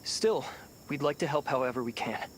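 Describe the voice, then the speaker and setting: A woman's voice: very deep voice, normal speed, slight background noise. A woman voicing a modest female shelter helper who speaks earnestly.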